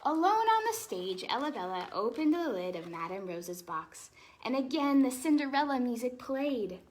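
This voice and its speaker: Female storytime host, higher-pitched and very animated, reading a picture book to kids with friendly explanatory asides. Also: moderate pace, slight background noise. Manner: expressive, lively, explaining asides to children.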